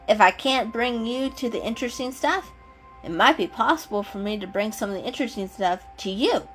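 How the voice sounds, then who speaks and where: A woman's voice: on the high side, animated, brisk, a bit bright, rough recording. A woman voicing a bold, mischievous young male kitten in a storybook reading, eager and playful. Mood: eager, scheming. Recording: music under the voice.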